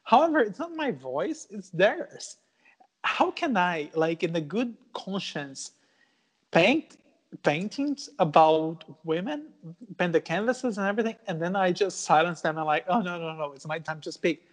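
A man's voice: sits high, animated, a little slow. A young Brazilian man, a Portuguese speaker, talking earnestly in a casual interview, with many fillers and restarts. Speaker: guest artist.

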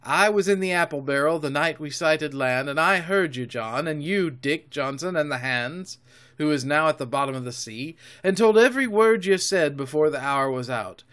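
A brave, defiant boy hero of a storybook, as a male narrator acts him out. He declaims proudly and emphatically in a fairly high, very bright voice. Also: lively intonation, normal speed. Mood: excited defiance. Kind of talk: audiobook character voice.

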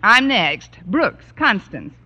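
An American actress playing a wry, wisecracking schoolteacher in 1950s radio comedy, delivering dry lines. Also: female voice, medium pitch, sing-song, slow pace, pushed and bright, full character voice. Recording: muffled, very noisy old radio recording.

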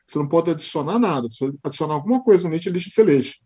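Give me a lively Paulista man with a husky voice and a rapid pace, chatting as a guest on a podcast. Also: fairly high pitch. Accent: São Paulo Portuguese. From Brazil. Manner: animated storyteller, acts out quoted dialogue. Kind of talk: casual interview chat.

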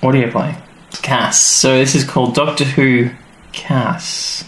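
A man's voice: medium pitch, level tone, slow pace, a bit bright. Man, rambling podcast chat, lots of fillers, echoey room, faint hiss. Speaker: podcast co-host. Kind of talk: casual chat. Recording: roomy with faint noise.

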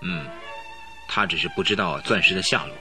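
An actor playing a young count who leads a gang, in a radio drama, speaking in a cold, calculating, smug way. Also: male voice, low-pitched, a little fast, pushed and bright, faint music behind. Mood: scheming.

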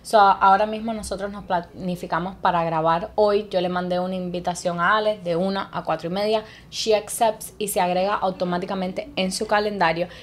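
A woman's voice: mid-range voice, steady tone, brisk, few pauses. A woman co-hosting a podcast in a casual chat, playful and teasing, who chimes in with short remarks. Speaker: podcast co-host.

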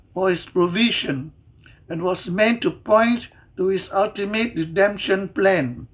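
A person reading a scripted Bible devotional and prayer in a measured, deliberate, reverent manner. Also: medium pitch.

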